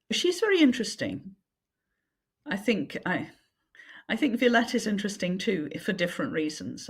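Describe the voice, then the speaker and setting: Low, husky woman author. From England, Yorkshire. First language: French. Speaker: author guest. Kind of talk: casual interview chat. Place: home in Yorkshire, remote call. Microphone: video call.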